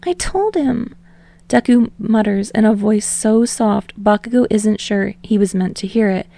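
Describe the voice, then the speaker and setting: A woman's voice: mid-range voice, level tone, moderate pace, vocal fry, warm tone, no room sound. A woman reading a story aloud as a scripted audiobook narration. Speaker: fan fiction audiobook narrator.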